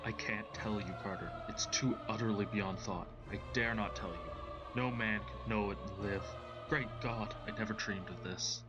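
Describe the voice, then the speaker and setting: A man voicing a terrified, despairing man calling from below in a horror story, hoarse, whispery and urgently pleading, over music. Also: on the low side, steady tone, normal speed, muffled recording, close to an everyday voice. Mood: hushed horror. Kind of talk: dramatic horror story reading. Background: loud background music.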